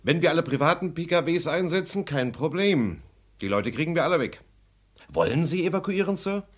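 A man's voice: on the high side, sing-song, a little fast. An actor playing a loyal, blunt ex-military security chief in a radio crime play, clipped and respectful in his speech. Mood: worried, urgent.

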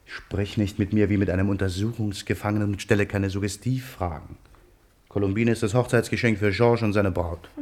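A stubborn artist husband in a German radio play, acted by a man. His voice sits a little low. He is defensive and irritable with people, tender with his beloved pet. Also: steady tone, brisk, darkish tone, natural voice.

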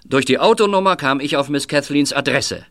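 A man's voice: on the high side, animated, brisk, a bit bright, no echo. A man in a radio play acting a composed, stubborn shopkeeper with a nonchalant, matter-of-fact manner. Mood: candid, composed. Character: composed, stubborn hardware dealer who posed as photographer.

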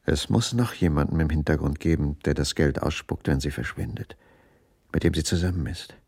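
Deep, gravelly troubled husband. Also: male voice, steady tone, a little fast, a little mellow, exaggerated voice. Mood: brooding. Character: troubled, evasive husband, a dining-car waiter. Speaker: radio drama actor. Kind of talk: 1980s German radio crime drama acting. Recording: music under the voice.

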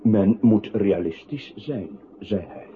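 An actor playing a narrator in a literary radio play, reciting deliberately and precisely. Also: male voice, fairly low pitch, lively intonation. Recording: muffled, noisy old radio-play recording.

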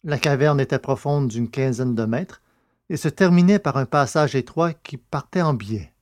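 A man's voice: medium pitch, normal speed, a little mellow. Male narrator reading literary science fiction. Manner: literary, carefully phrased read speech. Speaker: podcast host and audiobook narrator.

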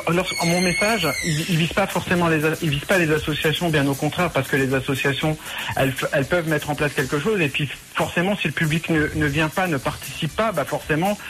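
A man's voice: on the high side, fairly quick, over music. A man on a radio panel, teasing his neighbours and then speaking earnestly to listeners. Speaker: radio studio guest. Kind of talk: casual radio chat.

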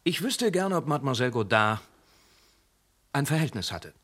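A composed police inspector who asks pointed questions with dry politeness. His intonation moves expressively. He stays measured and unhurried. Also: medium pitch. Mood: probing. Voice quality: calm, dryly probing. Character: calm, probing male police inspector. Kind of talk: radio play acting.

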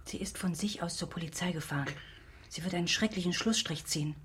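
An actress playing an anguished, reproachful sister in a radio drama, with a husky, breathy voice and a strained, grave manner. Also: female voice, fairly low pitch, fast, slight background noise, natural voice. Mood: worried, reproachful.

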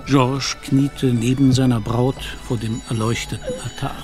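An actor as the grave narrator of a literary radio drama, speaking in a measured, deliberate way. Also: male voice, mid-range voice, steady tone, a little mellow, faint music behind, close to an everyday voice. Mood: measured, solemn. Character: grave, unhurried storyteller of a literary tale.

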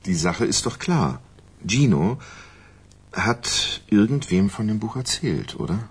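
An actor playing a blunt, wry, unruffled male writer in a naturalistic radio crime drama, with a husky voice. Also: fairly low pitch, very animated, slow, full character voice. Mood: serious, insistent.

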